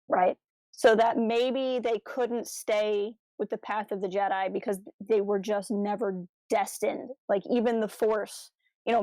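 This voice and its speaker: Woman, podcast co-host, casual discussion, earnest, analytical, mid-pitched voice, dry recording. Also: a little mellow, no room sound, low-quality recording.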